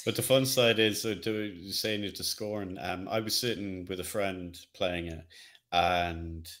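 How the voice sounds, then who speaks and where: Lower-voiced man in casual conversation. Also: fairly even intonation, unhurried, fairly bright tone. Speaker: podcast co-host. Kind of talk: casual chat about video games.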